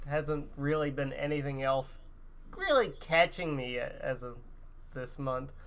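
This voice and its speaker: A man's voice: medium pitch, measured pace, fairly bright tone, smooth. A man co-hosting a gaming podcast in casual, now and then profane chat.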